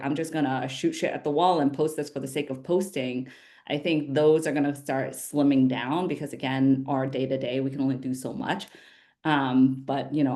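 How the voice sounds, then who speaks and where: A woman's voice: rumbling low, darkish tone. A woman whose first language is Vietnamese, a social media marketer talking loosely and casually on a panel. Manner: conversational, loose, with fillers and casual swearing.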